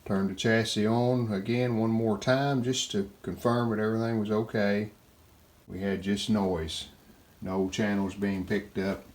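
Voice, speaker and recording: A man with a fairly low voice talks through repair work as he does it. He is plain-spoken and matter-of-fact, explaining each step casually. There is clear noise behind his voice. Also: darkish tone. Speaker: restoration video host. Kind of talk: casual hands-on repair narration. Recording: clearly noticeable background noise.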